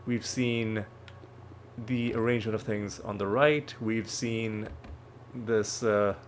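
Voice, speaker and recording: A man's voice: lowish voice, steady tone, takes it slowly, slight background noise. A man hosting a let's play, explaining the game in a rambling, self-correcting way, with a slightly nasal voice as he gets over a cold. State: recovering from a cold. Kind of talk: casual gameplay commentary. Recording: faint background music.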